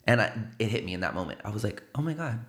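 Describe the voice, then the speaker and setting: A man's voice: lowish voice, rapid-fire, reverberant. A man from the South Side of San Antonio with a South Texas accent, telling stories casually as a guest in a studio, tagging his points with "right?". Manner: animated, peppers stories with "right?" and "like". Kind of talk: casual storytelling interview. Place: podcast studio.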